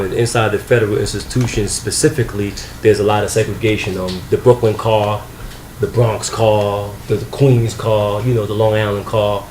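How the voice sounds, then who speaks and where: A man's voice: lowish voice, a little mellow, slight background noise. Earnest man hosting an interview, echoey. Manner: measured, filler-heavy, earnest. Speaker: interviewer, magazine publisher. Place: guest's home.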